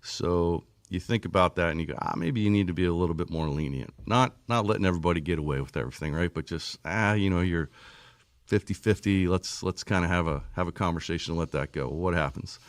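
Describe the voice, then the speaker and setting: A man's voice: deep voice, fast, no room sound. A man speaking as an official guest in a casual interview, explaining things plainly and often checking in with short tag questions. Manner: plain-spoken, frequent 'right?' tags. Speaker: motorsport series official, podcast guest.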